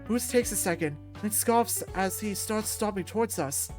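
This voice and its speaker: A woman's voice: mid-range voice, normal speed, a little mellow. Woman reading a story aloud over music. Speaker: visual novel reader. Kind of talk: animated read-aloud of a visual novel.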